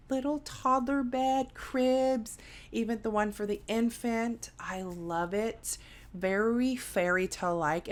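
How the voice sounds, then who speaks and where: A woman giving a casual, unscripted video game review, rambling with lots of filler words and repeated phrases. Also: on the low side. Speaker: gaming video creator, reviewer. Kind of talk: casual unscripted review commentary over gameplay.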